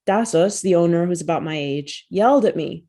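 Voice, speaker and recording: A woman author reads from her own essay in a calm, reflective rhythm. Her voice sits a little low for a woman. She is heard through a video call microphone. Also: moderate pace. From United States.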